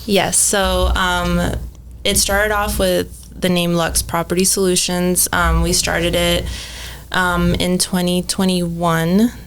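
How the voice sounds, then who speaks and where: Chatty woman guest with a gravelly voice. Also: fairly low pitch, level tone, takes it slowly, slight background noise. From Mexico, raised in Phoenix. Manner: chatty, many ums and likes. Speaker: podcast guest, cleaning business owner. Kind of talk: casual interview chat.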